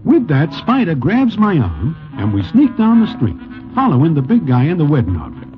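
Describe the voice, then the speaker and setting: A man playing a wry, slangy New character who narrates gangster tales in a deadpan, wisecracking 1940s radio style. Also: a little high, normal speed, over loud music. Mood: wry storytelling. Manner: deadpan, wisecracking, oddly formal slang in present tense. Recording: muffled vintage 1940s radio recording.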